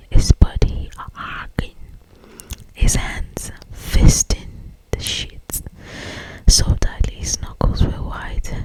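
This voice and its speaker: An ASMR host reading romance fiction aloud in a breathy, intimate whisper, with drawn-out phrasing. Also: darkish tone, low-quality recording.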